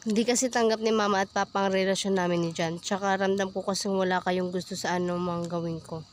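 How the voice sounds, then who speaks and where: A woman playing a lovestruck, defiant high school daughter in an amateur student radio drama. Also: fairly even intonation, quick pace, slight background noise, close to an everyday voice. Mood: hurt. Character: lovestruck, defiant twelfth-grade daughter telling her story.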